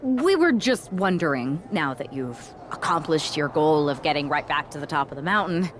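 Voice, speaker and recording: A wary, put-out female wrestling boss in a video game cutscene. Her pitch is on the low side but swings expressively. Clear noise sits behind the voice. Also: lively intonation, moderate pace. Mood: polite, probing.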